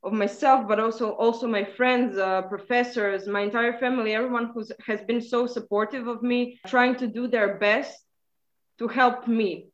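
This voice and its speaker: A woman's voice: on the high side, fairly even intonation, normal speed, lo-fi sound. A young woman from Croatia, an undergraduate speaking earnestly in an interview. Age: young adult. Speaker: undergraduate student guest. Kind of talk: casual interview answers.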